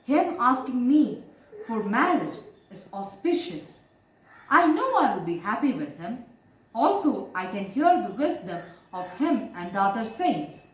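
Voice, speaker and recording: A woman storyteller voicing a gentle, dutiful young princess who speaks earnestly and politely, with steadfast reassurance. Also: fairly high pitch, sing-song, a little slow. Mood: earnest, reassuring. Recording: roomy, distant mic, faint noise.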